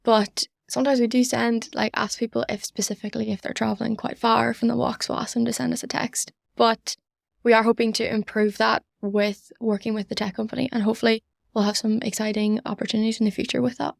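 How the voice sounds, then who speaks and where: Higher-pitched young Northern Irish woman, evenly spoken. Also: level tone, fairly quick, vocal fry, dry recording, studio quality. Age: young adult. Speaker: student society member, podcast guest. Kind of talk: earnest interview answers.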